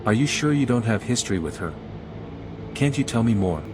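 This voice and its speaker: A man playing a composed, scholarly envoy in a video game, speaking in a measured, articulate way. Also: mid-range voice, lively intonation, background noise, natural voice. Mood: questioning, firm. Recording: music behind the voice. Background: music.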